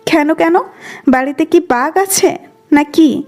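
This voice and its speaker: A woman playing a soft-hearted young wife in an audio story, with a pleading, coaxing manner. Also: high voice, takes it slowly, soft background music. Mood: tender, coaxing.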